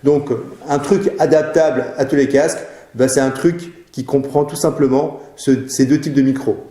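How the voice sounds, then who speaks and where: A man's voice: medium pitch, steady tone, moderate pace, mellow, reverberant. A man presenting a question-and-answer show, giving casual product advice in an informal, hesitant way.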